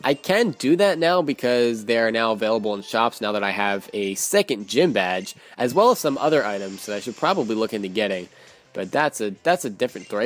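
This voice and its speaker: A young man casually commenting on his own gameplay, rambling with self-mocking asides, his voice growing tired and hoarse from a long day of recording. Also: mid-range voice. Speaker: let's play commentator. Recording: faint game music behind.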